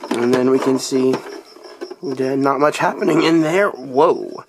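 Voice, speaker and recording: A young man who has been sick, his voice deeper than usual, rambling through a casual toy review with lots of "uh"s. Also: measured pace, background noise. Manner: rambling, chatty, lots of fillers.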